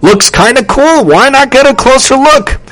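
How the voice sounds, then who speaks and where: A man voicing a curious, impulsive schoolboy in an excitable storybook manner. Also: lowish voice, normal speed, husky, voice pushed down, exaggerated voice. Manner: excitable, exclamatory.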